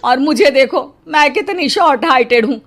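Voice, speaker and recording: A woman's voice: high-pitched, normal speed, noisy background. A woman voicing a middle-aged, anxious mother-in-law in a cartoon, with a dramatic, complaining manner. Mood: mocking.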